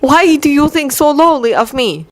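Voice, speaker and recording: A woman's voice: high voice, very animated, normal speed, dry recording, voice pushed up. A woman voicing a frustrated man who answers bitterly and defends himself. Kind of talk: dramatic story reading.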